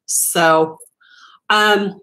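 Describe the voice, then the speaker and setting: A woman's voice: mid-range voice, steady tone, drawn-out words, pushed and bright, poor audio. A woman whose first language was Italian, hosting a casual live chat as a crochet designer, rambling with frequent ums and restarts.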